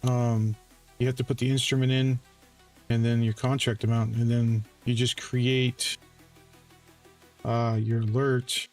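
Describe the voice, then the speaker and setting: A man hosting a casual screen-share tutorial, explaining settings in a halting way with frequent self-corrections. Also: mid-range voice, little pitch movement. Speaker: trading bot developer and livestream host. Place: live voice call on a screen-share stream. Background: music.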